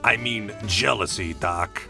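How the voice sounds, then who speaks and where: A man voicing a gruff, skeptical government agent in a comic dub, blunt and slangy. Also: on the low side, slow pace, bright, forceful tone, faint music behind, full character voice. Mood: wry.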